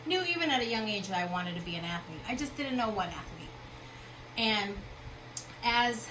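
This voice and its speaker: A woman from the Philippines, founder of a company, giving a motivational talk at an expo in a conversational way, often checking in with the audience. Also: a little high. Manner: conversational, with frequent fillers and check-ins. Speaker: founder and keynote speaker. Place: expo hall. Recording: noisy expo hall.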